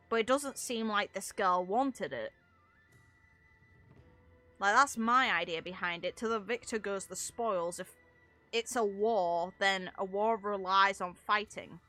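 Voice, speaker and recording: A woman game streamer giving casual, chatty gameplay commentary, thinking aloud in an excitable way. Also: medium pitch.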